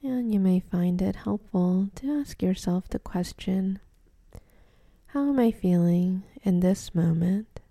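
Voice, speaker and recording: Very mellow, slow woman guiding meditation. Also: mid-range voice, no room sound, clean studio sound. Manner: gentle, unhurried instruction with pauses. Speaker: meditation guide. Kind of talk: guided meditation.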